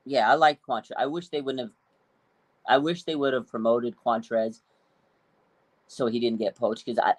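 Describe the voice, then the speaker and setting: A man's voice: mid-range voice, dry recording. Opinionated late-thirties man, dry close sound. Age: late 30s. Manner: slangy, profane, opinionated. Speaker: sports show co-host. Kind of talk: casual sports chat.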